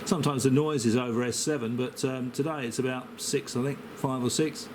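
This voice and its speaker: An Australian man with a mid-pitched voice talks over clear background noise. He is an amateur radio operator in casual conversation, rambling in a hesitant, matey way. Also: fairly even intonation, a little fast. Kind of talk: casual radio contact chat.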